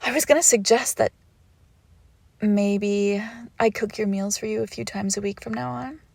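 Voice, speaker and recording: A woman's voice: mid-range voice, steady tone, moderate pace, vocal fry, darkish tone, no echo. Woman acting a teasing vampire girlfriend, crackly close voice. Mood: playful smug.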